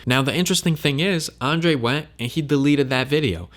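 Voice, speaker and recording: A man's voice: fairly high pitch, normal speed, a bit bright. Male commentator, opinionated and emphatic, direct talk to camera. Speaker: crypto commentator.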